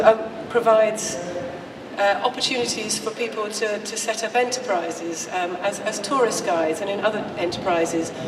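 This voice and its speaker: A woman researcher with a croaky voice giving a measured conference presentation. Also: medium pitch. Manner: measured, explanatory, with occasional restarts. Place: large conference hall. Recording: echoey hall recorded from far off with noise.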